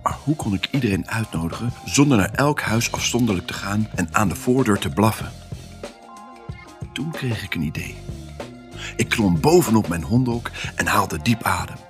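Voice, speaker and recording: Male actor as excitable pet dog, low voice, very lively intonation, theatrical storytelling, family audio drama. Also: faint music behind, lightly acted. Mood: cheerful storytelling. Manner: expressive, theatrical, with dramatic pauses. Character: Rudy, excitable pet dog telling his Christmas adventure.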